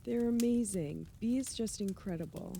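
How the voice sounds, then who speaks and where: Actress as mellow, breezy, witty woman. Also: medium pitch, normal speed, vocal fry, close to an everyday voice. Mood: amused. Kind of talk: radio play acting, conversational. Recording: faint background noise.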